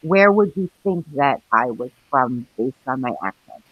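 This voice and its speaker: A woman's voice: extremely low pitch, expressive, measured pace, slightly rough, no room sound, narrow, tinny audio. A middle-aged woman from New York hosting a livestream, chatting casually, rambling between topics and reading out the chat with frequent laughs. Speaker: livestream host.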